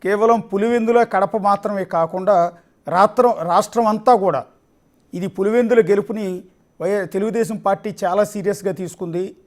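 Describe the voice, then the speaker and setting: A man anchoring live election news, delivering commentary deliberately, phrase by phrase. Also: high voice.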